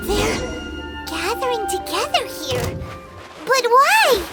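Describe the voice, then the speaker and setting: An actress voicing a small, perky, childlike companion in a game dub, squeaky and exclamatory. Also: female voice, huge pitch swings, slow pace, full character voice. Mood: puzzled, urging. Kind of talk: scripted English game dub dialogue. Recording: loud music under the voice.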